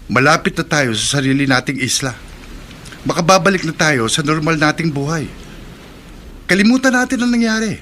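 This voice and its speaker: A man's voice: a little high, animated, moderate pace, pauses often, pushed and bright, a little hiss or hum. A Filipino man acting in a horror radio drama as a practical, reassuring fisherman who owns a restaurant. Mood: insistent, pleading.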